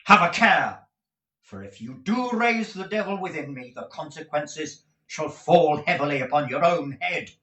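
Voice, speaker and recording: A man's voice: on the high side, moderate pace, echoey room, voice pushed up, lightly acted. A man voicing a defiant, fiery young hero. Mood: furious. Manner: passionate, loud, forceful declaiming.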